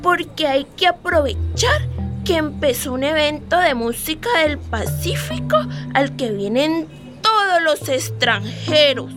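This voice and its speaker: An actress playing a playful, wistful eight-year-old girl in a radio drama monologue, speaking in a simple, childlike way. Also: sits high. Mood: wistful, earnest. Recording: music behind the voice.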